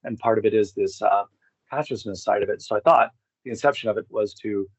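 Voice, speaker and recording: A man's voice: on the low side, fairly quick, no room sound, muffled recording. A man talking casually as an interview guest, rambling and circling back to restate his points. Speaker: guest, expedition group co-founder.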